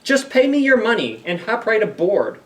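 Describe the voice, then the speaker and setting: A man voicing a slick, showy fix-it salesman creature from a children's storybook, delivering a grandiose sales pitch. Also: sing-song, moderate pace, far from the mic. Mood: eager coaxing. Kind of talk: storybook read-aloud.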